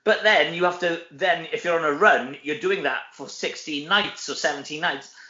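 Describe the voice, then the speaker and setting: A man's voice: fairly high pitch, moderate pace, pushed and bright. A middle-aged Welsh man chatting casually as an interview guest, rambling and conversational. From Wales. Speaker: interview guest, stage actor. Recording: roomy echo, dull lo-fi audio.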